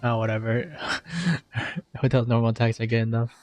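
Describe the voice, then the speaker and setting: A man's voice: medium pitch, monotone, normal speed, darkish tone. Male gamer, casual and offhand commentary, faint game music underneath. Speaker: gamer playing through a game's story quests. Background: video game music.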